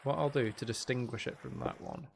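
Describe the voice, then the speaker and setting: A man's voice: mid-range voice, a little fast, gravelly, noisy background. A man streaming a game, chatting casually in a rambling, hesitant way as he thinks aloud. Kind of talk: casual chatty gaming livestream commentary.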